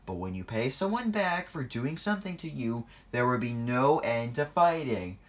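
A man voicing a stern, angry railway controller who scolds his engines in a cross, loud manner, in a children's story. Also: mid-range voice, sing-song, moderate pace, close to an everyday voice. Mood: firm, lecturing.

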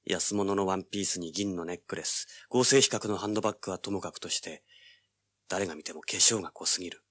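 A man's voice: deep voice, quick pace, fairly bright tone, breathy edge, dry recording. An actor playing a cocky, cool-headed young treasure hunter who narrates his own hard-boiled adventure in an audio drama. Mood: wry, confident. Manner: hard-boiled, self-assured.

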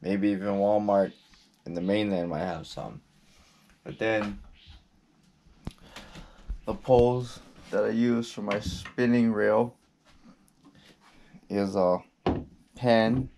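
Slow, gravelly-voiced young man. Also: lowish voice, fairly even intonation, dark tone, a little hiss or hum. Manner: slow, laid-back, with frequent ums and restarts. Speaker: fishing vlogger. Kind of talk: casual gear walkthrough. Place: indoors at a cluttered gear table. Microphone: action camera.

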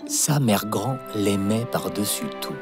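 A man narrating a scripted fairy tale for children in an expressive storytelling manner. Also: fairly low pitch, takes it slowly. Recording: music playing behind. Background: background music.

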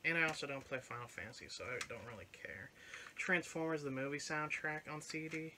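A man's voice: a little high, a little fast, noisy background. A young man hosting a casual show-and-tell of his flea market finds, talking offhand and swearing now and then. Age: young adult. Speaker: channel host showing his finds. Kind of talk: casual chat. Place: living room with record shelves and couch. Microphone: fixed camera at couch distance.

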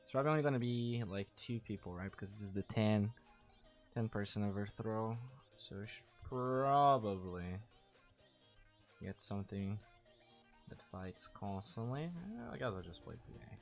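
A young man from Brazil, chatting casually while streaming a game, thanking viewers and thinking out loud. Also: lowish voice, normal speed. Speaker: streamer and caster. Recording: faint music behind, weak mic.

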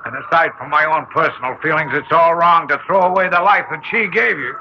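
An actor playing a tough, blustering older businessman father in an old-time radio drama, blunt, rough and slangy in speech. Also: on the high side, a little fast, natural voice. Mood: defensive. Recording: muffled, noisy old radio recording.

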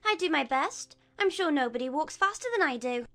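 A woman playing a frantic, excitable, squeaky March Hare messenger in a dramatized audiobook. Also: fairly quick, faint music behind. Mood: frantic, eager.

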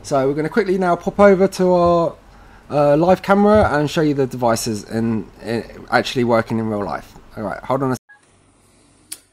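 A man presenting a casual step-by-step tutorial with a slightly husky voice, informally talking through each step as he does it. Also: mid-range voice, moderate pace.

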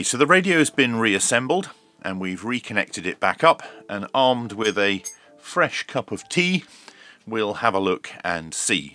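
A middle-aged man giving a measured, explanatory hands-on demonstration, like a reviewer talking through each step. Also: fairly low pitch, sharp tone. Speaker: radio reviewer.

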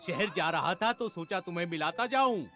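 A man's voice: high-pitched, animated, normal speed, sharp tone, soft background music. A man playing a friendly animal father in a cartoon dub. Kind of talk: Hindi cartoon dubbing.

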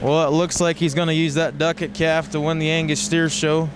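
An American man hosting live livestock show commentary in a measured, matter-of-fact way. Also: on the high side, level tone. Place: large indoor show arena. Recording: noisy livestock arena ambience.

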